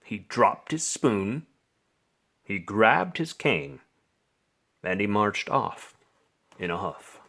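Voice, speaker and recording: A man reading a children's bedtime story aloud, with expressive, theatrical phrasing and drawn-out words. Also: lowish voice. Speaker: storybook reader.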